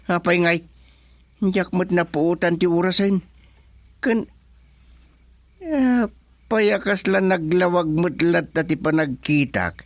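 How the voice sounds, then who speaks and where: An actor playing a forgetful, grumbling elderly father in an Ilocano comedy radio drama, with a Filipino accent and drawn-out, sighing interjections. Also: sits high, no room sound. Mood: bemused, grumbling.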